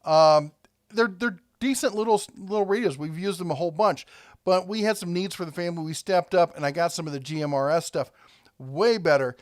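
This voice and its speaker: A man's voice: sits high, brisk, no room sound, clean studio sound. A man hosting a casual product review, talking conversationally and thinking aloud as he rambles along.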